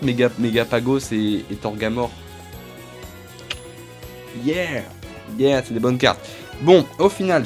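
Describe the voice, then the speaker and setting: A young man with a stuffy cold talks loosely and chattily, naming each card as he pulls it. His voice sits in an ordinary middle range. Faint music plays under him. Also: medium pitch. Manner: chatty, rambling, names each card as he goes. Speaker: channel host. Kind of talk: casual card-opening vlog. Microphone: phone camera.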